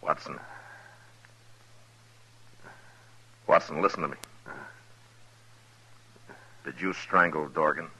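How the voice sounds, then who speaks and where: An actor playing a stern, middle-aged American frontier marshal in an old radio western, speaking with deliberate, terse authority on a hissy recording. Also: male voice, extremely low pitch, sharp tone, breathy edge, full character voice, poor audio. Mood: urgent, commanding. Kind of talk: 1950s radio western acting and first-person narration.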